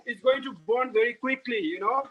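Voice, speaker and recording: Casual: nepali guy with a really high voice walking you thru his curry step by step, halting simple english, faint hiss behind. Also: narrow, tinny audio. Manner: halting, plain English, narrating each step as he cooks. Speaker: home cook giving a cooking demo. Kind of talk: live cooking demonstration.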